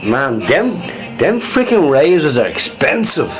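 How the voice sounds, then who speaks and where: A man's voice: on the high side, big pitch swings, unhurried, music in the background. A man streaming on his own, chatting casually in a rambling, profane way and laughing often. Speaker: livestreamer. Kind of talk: rambling solo stream chat.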